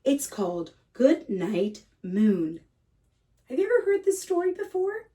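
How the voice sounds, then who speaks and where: A woman reading a picture book aloud to young children as a teacher, speaking to them directly in simple, gentle phrases. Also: high-pitched, very animated, unhurried. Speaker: kindergarten read-aloud teacher and host. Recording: slightly distant microphone.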